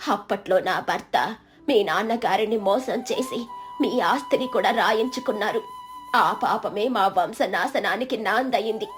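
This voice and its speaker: Woman doing an old auntie voice, lower, slow and sorry, earnest storybook confession, light music under it. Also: lightly acted. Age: older. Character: remorseful old woman, a distant aunt.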